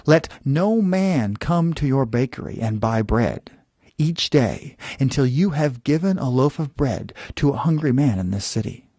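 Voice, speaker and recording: Man acting wise male judge, storybook, solemn, deliberate, hesitant, dry close recording. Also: medium pitch, animated, mellow, dry recording. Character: wise, measured male judge pronouncing judgment. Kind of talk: storybook character voice.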